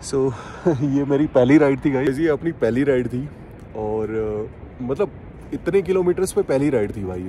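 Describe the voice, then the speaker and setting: A man's voice: mid-range voice, normal speed, dark tone. Enthusiastic man vlogging outdoors, noisy. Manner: enthusiastic, admiring, peppered with English words. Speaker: travel vlogger. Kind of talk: casual chat to viewers. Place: outdoors on a mountain trip. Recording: outdoor noise with vehicle sounds.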